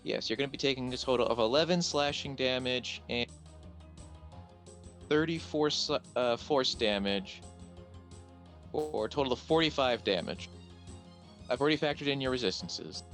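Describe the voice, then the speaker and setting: A man with a mid-pitched voice acts as game master in a tabletop roleplaying game. He talks casually as he runs play, with faint music behind him. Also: moderate pace, pauses often, fairly bright tone.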